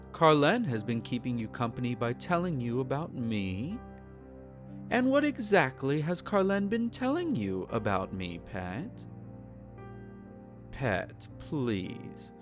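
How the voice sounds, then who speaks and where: A man playing a courtly, possessive vampire lord in an intimate roleplay audio, teasing and formal, savouring his phrases. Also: fairly high pitch. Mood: curious, probing. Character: possessive, courtly male vampire lord doting on captive pet. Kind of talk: intimate roleplay audio acting, partly whispered.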